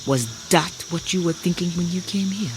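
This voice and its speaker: An actress playing a sly waitress who runs a swamp clam bar, taunting and playfully sardonic, in an audio drama. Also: female voice, lowish voice, normal speed, close to an everyday voice. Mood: grimly relishing. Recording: clearly noisy outdoor night ambience with insects. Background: crickets and insects.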